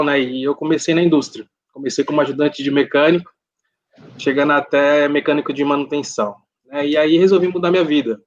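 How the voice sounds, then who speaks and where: A man, introducing himself as a panelist in relaxed conversational talk. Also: on the high side, dry recording, lo-fi sound. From Brazil, São Paulo coast. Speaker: panelist, tech manager. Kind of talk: self-introduction at a live online event.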